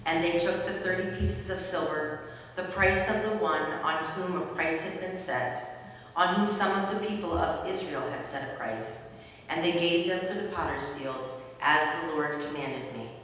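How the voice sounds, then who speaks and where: A woman's voice carries from a distance through a very echoey hall. She narrates scripture formally, with a lowish pitch, as the reader of a dramatic story. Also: normal speed, fairly bright tone, slight background noise. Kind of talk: scripted dramatic Bible reading.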